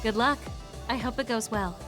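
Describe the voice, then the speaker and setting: A woman playing an understanding, reassuring teammate in an anime game dub, speaking in a gentle, encouraging manner. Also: on the high side, normal speed, music in the background, close to an everyday voice.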